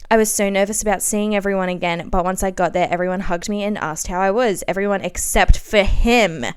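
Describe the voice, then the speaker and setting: Podcast host: Australian woman, twenties, clean smooth tone, theatrical diary-reading delivery with self-deprecating, chatty asides. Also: medium pitch. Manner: animated, self-mocking, giggly, exclaiming.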